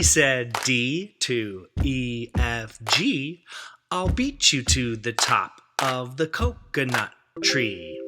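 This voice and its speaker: A man doing a playful, rhythmic read-aloud of a picture book for children. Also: a little high. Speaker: teacher reading a children's book.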